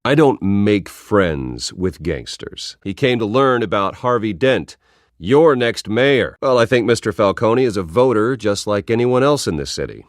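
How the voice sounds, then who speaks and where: Rich heir in a video game, lowish voice, earnest and defiant, really varied intonation, dry studio sound. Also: male voice, very animated, a little slow, no echo. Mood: indignant. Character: composed adult male billionaire heir, earnest and defiant. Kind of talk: video game voice acting, public speeches.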